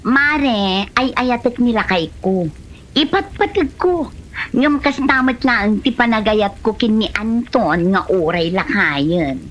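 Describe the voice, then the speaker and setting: A woman's voice: fairly high pitch, animated, takes it slowly. A woman acting in an Ilocano comedy drama as a scheming younger woman who sweet-talks her elderly sugar daddy, with an Ilocano Filipino accent. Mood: defensive. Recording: muffled audio with music behind.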